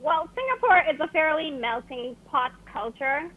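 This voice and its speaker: A woman's voice: sits high, normal speed. Barbadian woman over a phone line. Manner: laughs often, relaxed and chatty. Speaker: guest author. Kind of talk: live call-in interview. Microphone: telephone.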